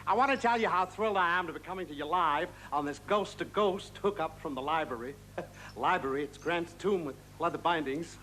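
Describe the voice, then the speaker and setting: A man's voice: on the high side, fairly quick, bright, forceful tone, slight background noise. An actor playing a wisecracking ghost who was once a court jester, a brash vaudeville comic who laughs at his own one-liners. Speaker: comic ghost character in TV variety special. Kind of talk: 1970s TV variety sketch comedy.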